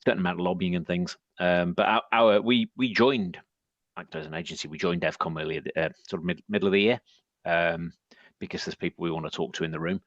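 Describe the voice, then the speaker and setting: Hoarse, deep-voiced man with a husky rasp; meandering podcast guest on a dry, muffled line. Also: fast talker, no echo. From northern England. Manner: rambling, many restarts. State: hoarse from shouting. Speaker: podcast guest, agency head. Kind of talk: casual panel chat.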